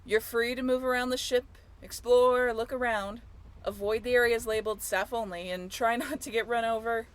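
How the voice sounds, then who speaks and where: A woman's voice: a little high, steady tone, moderate pace, lightly acted. A young woman playing a friendly, teasing sailing-ship crew member in an amateur audio roleplay, chatty and informal with a joking streak. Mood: cheerful, announcing. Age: young adult.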